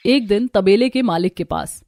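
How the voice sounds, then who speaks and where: A woman's voice: mid-range voice, animated, normal speed, darkish tone, dry recording. A woman reading a scripted story as its narrator.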